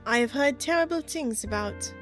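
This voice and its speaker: A woman voicing a poised, detached female witch with a shaky put-on French accent that drifts toward British, speaking formally and calmly, with soft music behind. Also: sits high, normal speed, voice pushed up. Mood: cool. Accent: put-on French, slipping into British. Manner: calm, formal, coolly polite.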